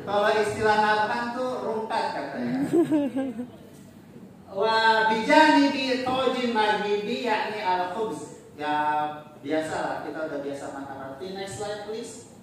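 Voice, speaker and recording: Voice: medium pitch, slow pace. A lecturer giving a casual slide talk, mixing in Arabic phrases, joking and quizzing the audience. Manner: joking, chatty asides. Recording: very echoey room, distant mic, faint noise.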